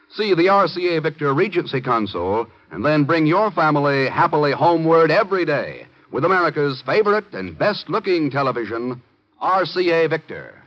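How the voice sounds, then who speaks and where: A man working as a 1950s radio announcer, reading credits and advertising in a polished, emphatic style. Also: on the high side. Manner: polished, emphatic sales delivery. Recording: muffled 1950s radio broadcast.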